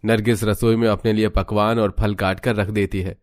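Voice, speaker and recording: Low-voiced man narrating a story. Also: flat delivery, normal speed, no room sound, studio quality. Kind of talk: scripted cartoon story narration.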